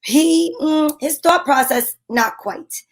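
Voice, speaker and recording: A woman's voice: sits high, slow. A woman playing a brash, slangy, wry female private eye in an old-time radio detective drama. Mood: wry narrating.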